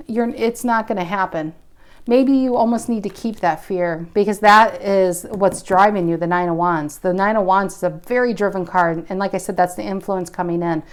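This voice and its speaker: A woman in her mid-forties giving a casual tarot reading, thinking aloud in a musing, intuitive way with frequent restarts. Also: medium pitch. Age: 40s. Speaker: tarot reader.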